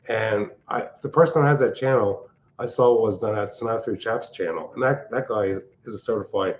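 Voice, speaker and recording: An older man, hosting a casual livestream from his open-plan home, talking in a loud, blunt, rambling way. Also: mid-range voice, lo-fi sound. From Pennsylvania. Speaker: livestream host. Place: large open-plan house at night.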